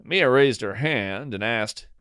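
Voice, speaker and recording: A man's voice: medium pitch, takes it slowly, fairly bright tone, clear, resonant tone, no echo. Guy hosting a story podcast, takes his time, playful and dry delivery, no room sound. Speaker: podcast host and narrator. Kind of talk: scripted first-person storytelling.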